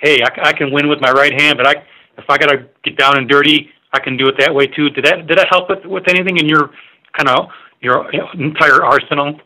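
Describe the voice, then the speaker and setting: A man working as a sports reporter, asking halting, rambling and informal questions on a media conference call. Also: a little high, steady tone, sharp tone, rough recording.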